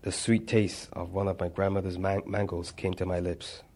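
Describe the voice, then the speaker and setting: A man reading a personal memoir aloud, measured, with small stumbles and restarts. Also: sits low, flat delivery, a little mellow. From Barbados. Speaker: narrator.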